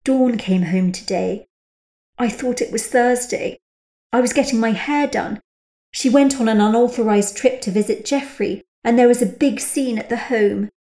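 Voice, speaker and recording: A woman's voice: on the high side, moderate pace, warm tone, echoey room, natural voice. A woman voicing a stiff, strained middle-aged mother who talks in a clipped, proper way. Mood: flustered.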